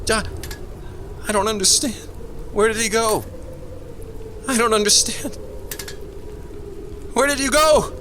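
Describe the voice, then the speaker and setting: Actor as scared husband in a horror radio play, really high voice, distraught, loud noise behind him. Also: male voice, takes it slowly, pauses often, exaggerated voice. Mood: panicked. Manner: distraught, calling out. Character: frightened, bewildered husband searching through a storm. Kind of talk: horror radio drama acting. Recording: heavy storm-like background noise.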